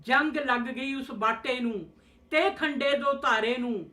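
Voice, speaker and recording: A performer playing a fearful, cautioning chanter in a rhymed stage play, with slow, incantatory, repetitive delivery. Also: medium pitch, bright, forceful tone. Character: fearful cautioning chanter warning a truth-teller to hush. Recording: echoey room.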